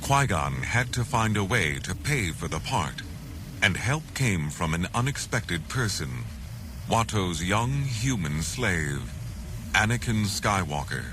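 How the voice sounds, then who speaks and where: A man reading a scripted children's adventure story as narrator, in a dramatic, measured way. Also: lowish voice, big pitch swings, noisy background.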